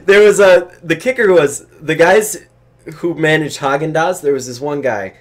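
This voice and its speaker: A man telling work anecdotes in a casual chat, animated and swearing freely. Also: fairly high pitch, moderate pace. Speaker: storyteller on a comedy chat show.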